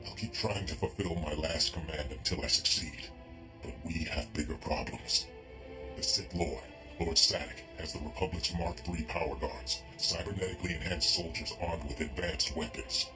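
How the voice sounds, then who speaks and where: An actor playing a tormented cyborg agent fighting mind control, speaking with strain in a dramatic game scene. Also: male voice, a bass voice, normal speed. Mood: grim, urgent. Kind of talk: video game dramatic acting. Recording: music behind the voice.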